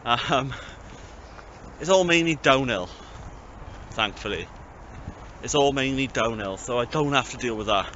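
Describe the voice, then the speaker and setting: A man's voice: mid-range voice, expressive, a little slow, pauses often, a bit bright, lo-fi sound, a little hiss or hum. Chatty young South Welsh man vlogging outdoors. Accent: South Wales. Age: 20s. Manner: chatty, informal, thinking aloud. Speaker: hiking vlogger. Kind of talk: casual vlog commentary while walking. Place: outdoors on a woodland hiking trail. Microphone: handheld camera at arm's length. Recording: outdoor walking vlog with music behind. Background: music.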